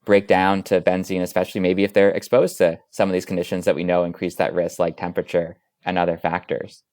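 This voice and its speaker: A man speaking as an expert interview guest, explaining in a measured way with long, winding sentences. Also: low voice, level tone, a little mellow. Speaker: dermatologist and researcher, interview guest.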